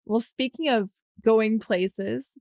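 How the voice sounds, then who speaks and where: A woman's voice: on the high side, slow pace, darkish tone, no room sound. A woman hosting a podcast interview, thinking aloud, reflecting on what her guests say and checking in with them. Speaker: podcast host. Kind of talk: conversational interview.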